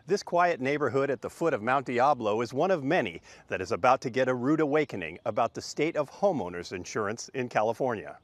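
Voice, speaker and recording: Lively older male news reporter. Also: mid-range voice. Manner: measured, lively reporting delivery. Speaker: field reporter.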